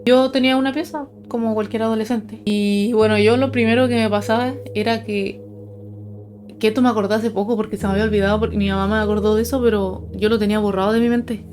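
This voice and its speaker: A woman's voice: medium pitch, fairly even intonation, fast, darkish tone. Young woman, music behind her. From Chile. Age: young adult. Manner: conversational, informal, explanatory. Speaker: paranormal storytelling video host. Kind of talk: casual personal storytelling to viewers.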